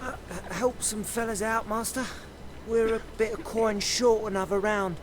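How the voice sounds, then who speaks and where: A man playing a cheeky, drunken village thug in a fantasy video game, cajoling in a rough manner. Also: high voice, steady tone, a little slow, background noise. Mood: wheedling.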